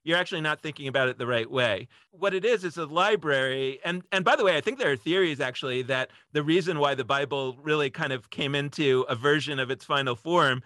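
A man's voice: on the high side, a little fast, few pauses, bright, forceful tone, dry recording. A man speaking as a podcast guest, explaining ideas in a casual, discursive way as he thinks aloud.

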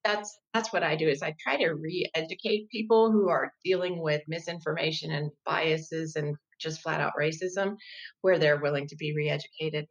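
A woman in her fifties, a guest in an interview, explaining her work as a diversity educator in a measured, thoughtful way with occasional restarts. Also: deep voice, fairly even intonation, highs cut off. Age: 50s. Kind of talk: conversational interview.

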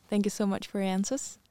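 A woman hosting a company podcast, chatty and upbeat, with many "uh" fillers. Her voice is smooth and clean, at a middle pitch, and recorded dry and close. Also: lively intonation, moderate pace, dry recording. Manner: upbeat, with frequent laughs and "uh" fillers. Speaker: podcast host.

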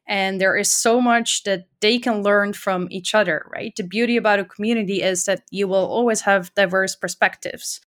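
A woman's voice: mid-range voice, fairly even intonation, moderate pace, few pauses, a bit bright. Woman, raised in the Netherlands, enthusiastic podcast guest, chatty with "uh" and "right?". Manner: enthusiastic, often adding "right?" and "uh". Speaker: podcast guest, community organizer. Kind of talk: conversational interview.

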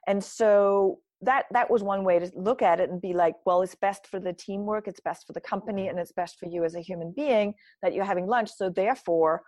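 A woman's voice: mid-range voice, brisk, few pauses, a little mellow, no echo. A woman from Denmark giving thoughtful, earnest answers as an interview guest, often checking in with "right?". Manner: earnest, reflective, frequent restarts and "right?" tags. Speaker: guest, nutrition author and speaker.

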